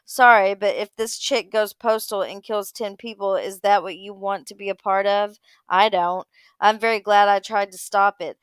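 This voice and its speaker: A woman's voice: mid-range voice, fairly even intonation, normal speed, clean tone, no echo. A woman on a casual livestream panel, talking and reading posts aloud.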